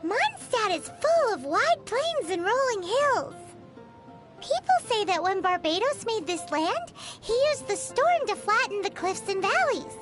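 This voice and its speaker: A woman voicing a small, excitable, naive childlike fairy companion in a video game, with a squeaky voice and cute exaggerated delivery. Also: a little slow, full character voice. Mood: chirpy, explaining. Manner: speaks of self in third person, exaggerated cute delivery. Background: music.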